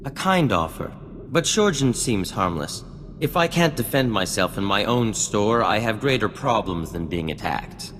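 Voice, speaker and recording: Florid, swooping scientist-inventor. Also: male voice, on the low side, very animated, moderate pace, a bit bright, a little hiss or hum. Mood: smug, airy. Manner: florid, formal, theatrical. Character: vain, refined male scientist-inventor, eager and anxious. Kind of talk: video game cutscene acting. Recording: long reverb, music underneath.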